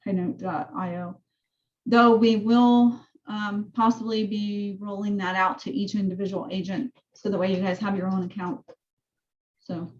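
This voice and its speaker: A woman with a soft voice, casually walking her real estate team through training tools on a call. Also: mid-range voice, lo-fi sound. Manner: chatty, rambling explanations. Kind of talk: casual team meeting walkthrough.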